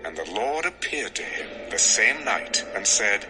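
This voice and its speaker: Male narrator, scripted scripture storytelling, animated pitch, measured, expressive, music behind. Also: low-quality recording.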